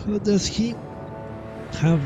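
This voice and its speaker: A man's voice: high voice, takes it slowly, lo-fi sound, music in the background. A man casually commentating on his own gameplay live, thinking aloud and muttering to himself with mild swearing. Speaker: live game streamer.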